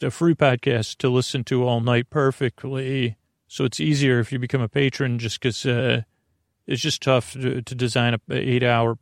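A man hosting a bedtime podcast in a meandering, halting monologue that drifts off on tangents. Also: medium pitch, dry recording, clean studio sound. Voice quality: creaky, gravelly. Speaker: podcast host.

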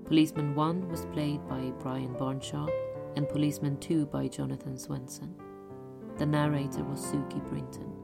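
A woman announcer reads scripted credits. Her voice is very low for a woman and creaky, with loud piano music behind it. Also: moderate pace. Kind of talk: scripted narration.

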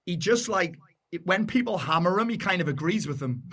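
A man's voice: animated, fairly quick. Blunt, matey Geordie man. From North East England. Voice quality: deep, loud voice. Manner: blunt and matey, swears freely. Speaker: podcast guest. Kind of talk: casual chat.

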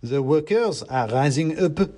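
A fanatical little revolutionary mouse from a storybook, put on by a male narrator, declaiming in slogans. The pitch is raised and each phrase is drawn out slowly and pompously. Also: lively intonation, mellow. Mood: worked up. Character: fanatical revolutionary committee mouse in a red sock hat.

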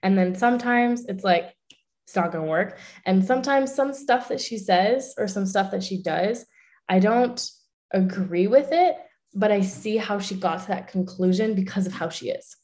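A woman's voice: mid-range voice, darkish tone. A young woman with a French accent and French as her first language, an actress talking candidly and swearing freely in a casual interview. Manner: candid, swears freely, talks in quick bursts. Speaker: voice actress and social media manager.

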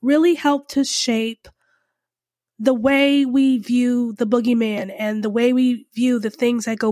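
A woman's voice: fairly high pitch, darkish tone, dry recording, studio quality. A woman hosting a film podcast, stuffed up from a cold, talking casually and rambling with lots of fillers. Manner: chatty and rambling, many fillers. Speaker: podcast host, film reviewer.

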